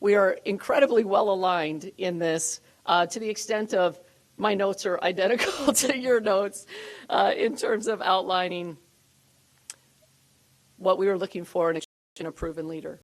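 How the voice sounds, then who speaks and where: A woman delivers a prepared introduction at a press event. Her tone is measured and formal, with a voice of ordinary pitch for a woman. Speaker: university official introducing a coach.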